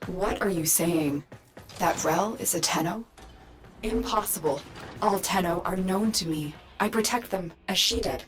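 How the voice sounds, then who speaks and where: A woman playing a cool, troubled sentient queen and motherly protector in a game, speaking with deliberate care. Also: lowish voice, over music, lightly acted. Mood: incredulous. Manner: measured, deliberate. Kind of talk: dramatic game voice acting.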